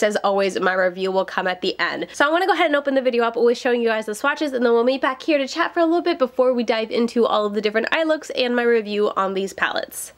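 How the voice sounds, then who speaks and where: A woman, chatting bubbly and direct to viewers in a casual makeup review vlog, slightly nasal from a cold. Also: fairly high pitch. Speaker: beauty vlogger.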